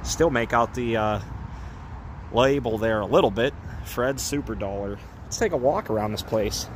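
Male vlogger, middle pitch, casual and joking, phone audio outdoors with clear road noise. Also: normal speed. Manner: chatty, amused, frequent laughs and asides. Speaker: urban exploration vlogger. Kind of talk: casual walk-and-talk narration. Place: outdoors in an empty parking lot. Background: traffic and outdoor ambience.

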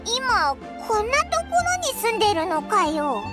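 A very high, squeaky little fairy chatters with cheeky, childlike brashness. The delivery swoops about in anime game style, with loud music behind it. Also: female voice, sing-song, measured pace, full character voice. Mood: curious. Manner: brash boyish wording, exclamatory. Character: small floating fairy companion, childlike, cheeky and excitable. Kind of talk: Japanese anime game voice acting.

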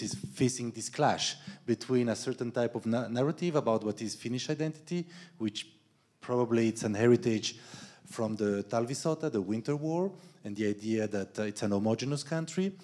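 A man's voice: medium pitch, darkish tone. A man in his fifties moderating a panel discussion, reflective, posing broad questions into a handheld mic. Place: event stage with panel tables, indoors.

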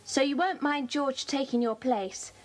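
A kindly, enthusiastic British schoolchild for a children's audio drama. The voice is very high. The delivery is earnest and chirpy. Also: female voice, fairly even intonation, measured pace. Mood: fond.

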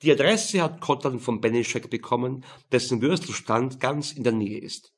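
A man's voice: medium pitch, moderate pace. A man reading a crime novel aloud as scripted audiobook narration.

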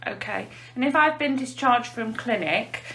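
A woman's voice: on the high side, lively intonation, moderate pace, creaky, fairly bright tone, slight background noise, rough recording. An English woman, a nurse specialist, interviewing a colleague with plain scripted questions.

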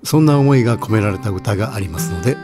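A man playing a polite, reflective host in an audio drama, narrating in a measured, scripted manner. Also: on the low side, expressive, over loud music, natural voice. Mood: reflective, kindly.

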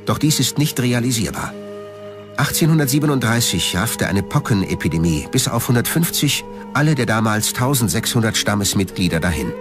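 A man's voice: fairly low pitch, big pitch swings, a little fast, over music. A man narrating a documentary from a script.

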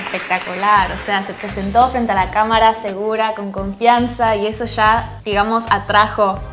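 A woman's voice: mid-range voice, flat delivery. Young argentine girl chatting on a couch, bubbly, lapel mic, music playing under. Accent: Argentine Spanish. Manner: bubbly, talkative, quick to laugh. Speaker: guest, former K-pop trainee. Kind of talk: casual reaction chat. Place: living room on a sofa. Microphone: clip-on lapel mic.

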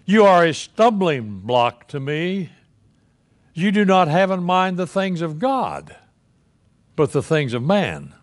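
An elderly man preaching a sermon from a church pulpit, reading scripture and then teaching in a slow, deliberate way with emphatic pauses. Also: a little high, very animated. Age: older. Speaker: pastor.

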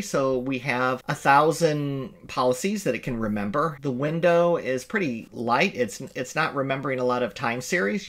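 Relaxed man explaining code. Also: medium pitch. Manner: explanatory, self-correcting, relaxed. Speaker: instructor. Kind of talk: screen-recorded coding lecture. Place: home office with bookshelves.

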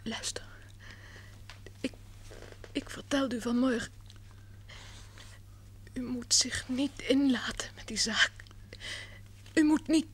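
An actress in an old radio drama playing a young injured woman, frightened and weak, speaking in a faint, halting whisper on a noisy old recording. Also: high voice.

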